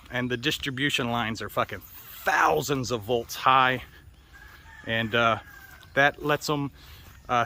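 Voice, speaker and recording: A man's voice: medium pitch, pushed and bright. An American man talking in a rambling, casual walk-and-talk vlog, swearing often in slangy, easygoing speech. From United States. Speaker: vlogger.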